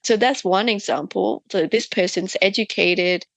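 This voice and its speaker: Animated Australian-accented woman guest. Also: mid-range voice, measured pace, vocal fry, no room sound, poor audio. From Myanmar. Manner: animated storytelling, laughing often. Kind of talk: casual interview talk.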